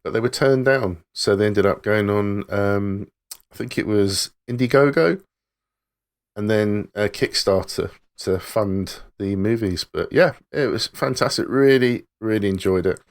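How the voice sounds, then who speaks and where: A man's voice: fairly low pitch, unhurried. A man co-hosting a podcast, recommending a show and a documentary in an easygoing, enthusiastic way. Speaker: podcast co-host.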